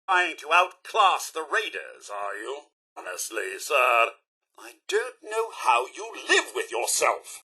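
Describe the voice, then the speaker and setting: A chipper, fussy British robot butler from a video game. The voice sits high with a rough, husky edge and leaps dramatically up and down. Every phrase is pompous, prim and formally courteous. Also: male voice, pushed and bright, exaggerated voice. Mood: prim disapproval. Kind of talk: theatrical video game character lines.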